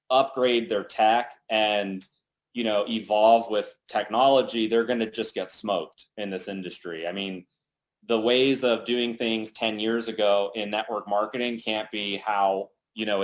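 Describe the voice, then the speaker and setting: A man in his thirties hosts a casual company call with a lowish voice. He talks in an upbeat, loose way, leaning on fillers like "you know" and "like". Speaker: company co-founder hosting a team call.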